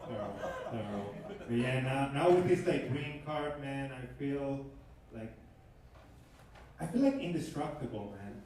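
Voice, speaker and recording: A man's voice: medium pitch, unhurried. Venezuelan-accented man, echoey club stage. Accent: Venezuelan Spanish. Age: 30s. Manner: animated, shouting punchlines. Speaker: stand-up comedian. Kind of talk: stand-up comedy set. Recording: echoey club from far off, noisy.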